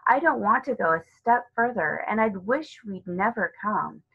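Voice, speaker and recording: A woman's voice: medium pitch, animated, unhurried, creaky, slightly rough, phone-quality sound, full character voice. A woman voicing a cautious, sensible older sister in a storybook, hesitant and worried. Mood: reluctant.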